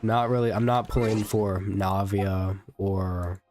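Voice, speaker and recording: A man's voice: deep voice, fairly even intonation, slow, a little mellow, soft background music. Laid-back male game streamer. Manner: laid-back, rambling, swears casually. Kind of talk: casual gameplay commentary.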